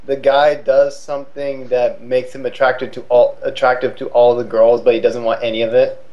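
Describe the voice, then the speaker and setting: A man's voice: mid-range voice, little pitch movement, moderate pace. A young man giving casual fan commentary, hesitant and searching for words, on a lo-fi call. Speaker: co-host commentator.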